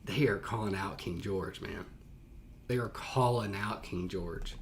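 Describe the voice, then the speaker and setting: A man's voice: deep voice, a little slow, soft background music. American man giving casual, slangy reaction commentary. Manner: colloquial slang, thinking aloud, animated paraphrasing. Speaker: teacher reacting to a historical reading.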